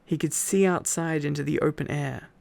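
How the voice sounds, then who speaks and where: A man's voice: a little high, fairly even intonation, normal speed, creaky, darkish tone, no echo. A sleepy man doing an intimate ASMR boyfriend-style reading, tired and affectionate, with playful asides to his listener. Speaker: ASMR boyfriend roleplay storyteller.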